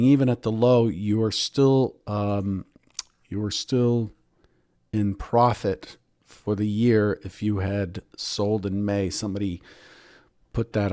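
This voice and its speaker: A man, a professional trader and show host, giving daily market analysis in a hoarse voice, clearing his throat often. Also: lowish voice, no echo, studio quality. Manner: steady analytical talk with frequent throat clearing.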